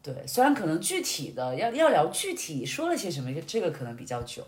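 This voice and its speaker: A woman sharing experiences in casual conversation, explaining things in a reflective, expressive way. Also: fairly low pitch, brisk. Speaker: podcast guest, amateur acting student.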